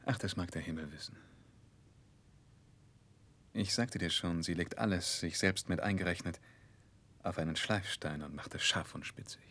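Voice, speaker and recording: An actor playing a charming, world-weary young gentleman in a 1960s German radio play, languid and offhand with a melancholy undertone. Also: low-pitched, normal speed, pauses often, full character voice. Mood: offhand, wry.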